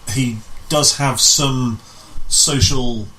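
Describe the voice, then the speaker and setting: A man chatting casually as an interview guest, halting and repeating his words as he explains. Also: mid-range voice, fairly even intonation, sharp tone, rough recording. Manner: halting, repeats himself, often says "as in". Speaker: guest, amateur pantomime writer and director. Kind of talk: casual chat.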